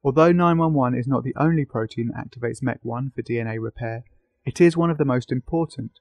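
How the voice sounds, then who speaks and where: Guy reading science video narration, creaky voice, really dry recording. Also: medium pitch, quick pace, a little mellow, no echo. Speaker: narrator and interviewer.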